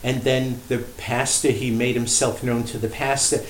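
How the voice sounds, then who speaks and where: A man with a medium-pitched voice speaks as a priest being interviewed. He tells history in a measured, emphatic storyteller's way, pausing for effect. The room adds clear echo, with faint noise behind him. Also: little pitch movement. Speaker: priest and shrine director interviewed as guest. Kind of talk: unscripted storytelling in a radio interview. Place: indoor room at a shrine.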